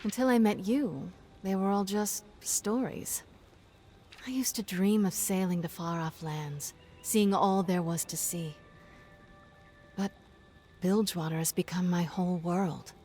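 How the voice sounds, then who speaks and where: An actress playing a self-assured pirate captain in a game, with a wry, teasing delivery. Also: female voice, fairly low pitch, fairly even intonation, measured pace, dark tone, faint music behind, natural voice. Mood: teasing, reflective. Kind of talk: cinematic game acting.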